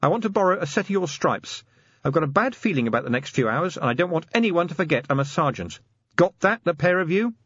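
An irritable female werewolf police sergeant, performed by a male audiobook reader, snaps out commands in a raised pitch. The delivery is crisp and clear, with a lively swing. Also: quick pace, no echo, lo-fi sound, full character voice. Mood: exasperated. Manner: snappish, commanding. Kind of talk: audiobook character acting.

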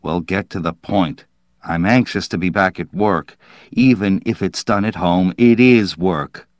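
Irritable male writer, played by a male narrator: scornful, dismissive, forceful emphasis, audiobook storytelling. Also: low-pitched, a little slow, no room sound, clean studio sound. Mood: impatient. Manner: emphatic, disgusted ranting.

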